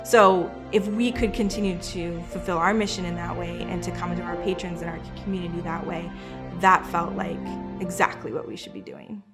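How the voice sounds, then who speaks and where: A woman with a slightly low voice speaks in a reflective interview style. She sounds like she is explaining decisions as a company leader. Faint music runs behind her. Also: fairly even intonation, normal speed.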